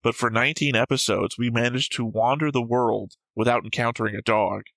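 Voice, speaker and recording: A man's voice: mid-range voice, fairly even intonation, a little fast, creaky, a bit bright, no echo. A man co-hosting a casual discussion show, going through notes in a dry, analytical way. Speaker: reaction show co-host.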